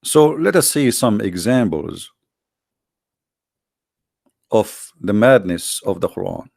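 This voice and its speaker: Host, fairly low voice, dry close sound, scornful and emphatic delivery. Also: male voice, lively intonation, slow pace, gravelly, darkish tone, dry recording. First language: Arabic. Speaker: online debate host. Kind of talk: combative commentary to viewers.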